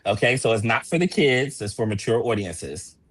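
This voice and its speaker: A man's voice: medium pitch, lively intonation, moderate pace, a bit bright, dry recording. A man who produces stage plays, speaking casually as an interview guest to promote his work.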